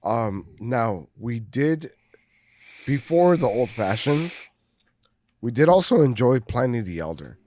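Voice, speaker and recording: A man's voice: medium pitch, sing-song, slow, darkish tone, a little hiss or hum. A man co-hosting a casual beer podcast, talking at length, swearing freely and laughing often. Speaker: podcast co-host. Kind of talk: casual chat, beer review.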